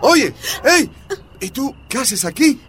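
A man's voice: extremely high pitch, sing-song, slow pace, fairly bright tone, a little hiss or hum, exaggerated voice. An actor playing a flustered, kindly night-watch policeman with a Bolivian accent in a radio drama. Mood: concerned.

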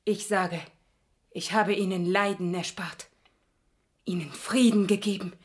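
An actress in a radio play playing an unhinged, possessive murderess, taunting and dramatic, turning from sweet to venomous. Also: female voice, medium pitch, steady tone, measured pace, close to an everyday voice. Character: unhinged murderess, possessive, mocking, chillingly sweet about killing.

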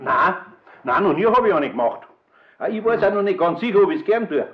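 A man's voice: high-pitched, animated, brisk. A man playing a good-natured older master carpenter with a Bavarian accent in a children's radio play, homely and hesitant, repeating his words. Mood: flustered, unsure. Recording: dull, lo-fi radio play recording.

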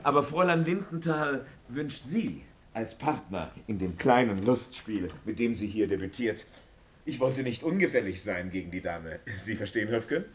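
Radio play part, male actor: icy male theatre director, officious and condescending, mid-pitched, slight background noise. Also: expressive, normal speed, lightly acted. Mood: icy, dismissive. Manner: stiff, formal, condescending. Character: cold vindictive male theatre director dictating a letter. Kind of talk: German radio drama acting.

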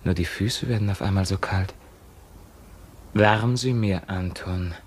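An actor playing a frail, devout young visionary in a classical radio drama, speaking in a solemn, halting, ecstatic way. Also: male voice, low-pitched, a little hiss or hum. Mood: exalted, fading.

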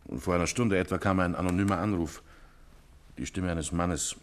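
An actor playing a formal, deferential police officer in a 1950s radio play, reporting in a plain, matter-of-fact way. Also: male voice, sits low, normal speed, close to an everyday voice. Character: formal male police inspector.

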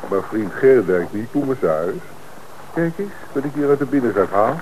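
An actor playing a practical detective who assists the commissioner, speaking in a matter-of-fact way in a radio drama. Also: male voice, mid-range voice, sing-song, normal speed, a little mellow, slight background noise, natural voice, poor audio.

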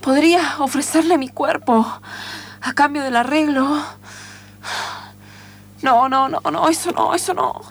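Woman acting a high-voiced, dramatic young Argentine traveller. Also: unhurried, pauses often. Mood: flustered. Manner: emotional, hesitant, plaintive. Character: dreamy, naive, dramatic young woman cyclist setting off travelling. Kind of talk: improvised radio theatre.

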